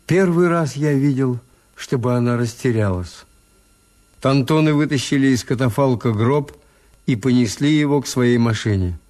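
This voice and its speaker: Актёр играет усталого ироничного хозяина гостиницы, который сдержанно и сухо ведёт рассказ в советской радиопостановке. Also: male voice, medium pitch, moderate pace, darkish tone, lightly acted. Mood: measured, wry. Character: weary, ironic male hotel owner recounting events. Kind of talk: Soviet radio drama narration and dialogue.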